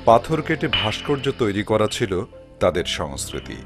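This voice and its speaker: Male narrator, low-pitched voice, scripted storytelling over prominent music. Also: sing-song, normal speed.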